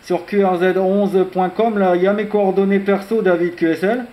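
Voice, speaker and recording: High-pitched man on radio, roomy echo. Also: steady tone, moderate pace, lo-fi sound, background noise. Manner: friendly, peppers speech with filler tags. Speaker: amateur radio operator. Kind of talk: informal radio contact chat, call signs and radio jargon. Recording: roomy, echoing radio contact audio.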